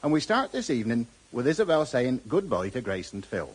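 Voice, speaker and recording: A man's voice: medium pitch, sing-song, brisk, breathy edge, low-quality recording. An actor playing an old-fashioned countryman with a rural West Country English accent, chattily narrating village news in a radio drama. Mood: folksy, musing. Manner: chatty, homespun, rambling rustic. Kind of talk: radio drama storytelling monologue.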